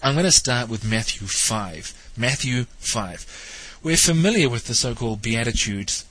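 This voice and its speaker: Host: New man, congested nasal tone, bright edge, wry and probing commentary. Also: mid-range voice, animated, measured pace, breathy edge, poor audio. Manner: wry, questioning, lightly teasing. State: nasal, stuffed up from hay fever. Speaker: talk show host. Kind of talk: spoken theology commentary monologue.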